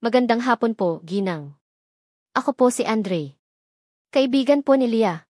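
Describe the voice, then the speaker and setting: A woman's voice: a little high, expressive, unhurried, darkish tone, no echo, studio quality. A woman voicing a remorseful, polite young billionaire man in a dramatic story, solemn and pleading.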